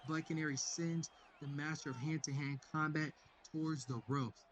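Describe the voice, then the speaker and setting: A man voicing an excited fight announcer, dramatic play-by-play with emphatic bursts of volume. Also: a little high, moderate pace, slight background noise, natural voice.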